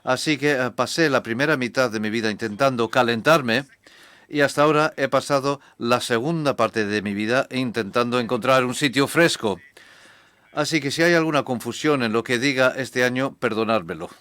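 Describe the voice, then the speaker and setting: A man giving a measured, reflective conference talk to a hall audience. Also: medium pitch, a bit bright. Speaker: conference speaker.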